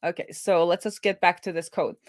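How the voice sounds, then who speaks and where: A woman speaks in a slightly low voice with very little room sound. She talks casually, as on a livestream, thinking out loud while she works through things. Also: steady tone, brisk, dry recording, clean studio sound. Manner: informal, thinks aloud. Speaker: livestream co-host and web developer.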